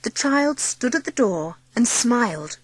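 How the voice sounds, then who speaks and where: A woman narrating a dramatised children's story as an expressive audiobook storyteller. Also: fairly high pitch, measured pace, breathy edge, no room sound, rough recording.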